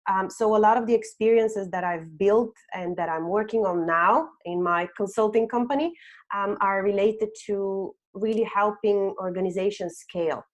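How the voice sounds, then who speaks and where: Woman from Bulgaria, webinar host, 30s, mid-pitched, fillers, computer mic. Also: moderate pace. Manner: frequent "um" and "okay?" tags. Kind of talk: presenting a webinar with slides.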